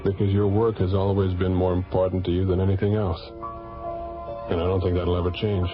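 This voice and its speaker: Deep-voiced man playing a reserved scientist. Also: level tone, brisk, natural voice. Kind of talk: 1980s radio drama acting. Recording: dull old radio recording with music.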